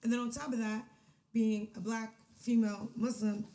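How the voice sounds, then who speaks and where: Outgoing woman, warm mellow voice. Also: a little high, normal speed. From United States, DMV area. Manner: outgoing, animated storytelling with frequent "right?"; laughs often. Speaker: podcast guest. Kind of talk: casual chat.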